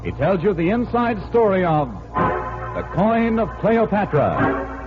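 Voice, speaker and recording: High-pitched theatrical male radio announcer. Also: very animated, slow. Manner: theatrical, showmanlike. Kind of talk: dramatic 1940s radio announcing. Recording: dull 1940s radio recording. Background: loud music.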